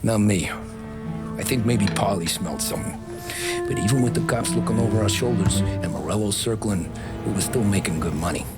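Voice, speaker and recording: A man's voice: on the low side, a little fast, loud music behind the voice, natural voice. An actor with an American accent playing a weary, wry mobster recounting his past matter-of-factly in a game. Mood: reflective. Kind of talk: cinematic game dialogue, storytelling.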